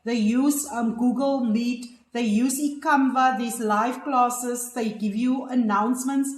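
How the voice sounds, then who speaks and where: High-voiced woman, roomy call. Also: fairly even intonation, takes it slowly. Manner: guiding, repeats words as she thinks. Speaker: session host advising applicants. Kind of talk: online support session.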